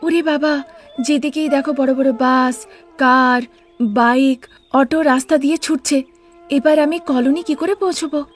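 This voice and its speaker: A woman's voice: high voice, steady tone, unhurried, faint music behind. A woman voicing a naive, stubborn young village bride in a cartoon, earnest, emphatic and pleading. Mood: bewildered.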